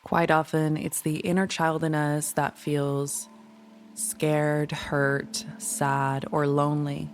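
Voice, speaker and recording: A woman leading a guided meditation in a soothing, gentle, unhurried manner. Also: deep voice. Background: music.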